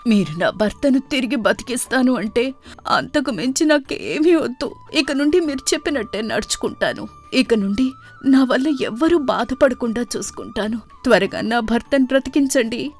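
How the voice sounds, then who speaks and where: A woman voicing a grief-stricken wife in an animated story, wailing and pleading with remorse. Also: sits high, normal speed, faint music behind. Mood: tearful pleading.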